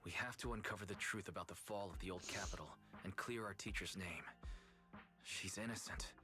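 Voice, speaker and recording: Earnest young guy investigator voiced by a man, video game, low voice, polite n loyal, light music behind. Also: fairly quick, natural voice. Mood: earnest, determined. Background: background music.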